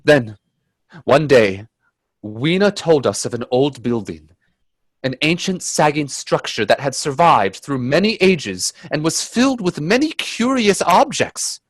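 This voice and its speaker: An earnest, anxious gentleman adventurer in a radio play, played by a man. His voice sits a little high and sounds dry and close. He delivers his words with grave, dramatic care. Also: animated, no echo. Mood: grave narration. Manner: earnest, hesitant in dialogue, measured in narration.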